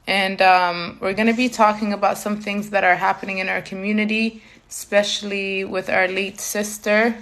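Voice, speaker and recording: A woman's voice: medium pitch, flat delivery, moderate pace, a bit bright, slight background noise. A young woman from Canada hosting a live stream for her community foundation. She switches between English and Somali and uses many fillers. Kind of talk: live stream talk to viewers.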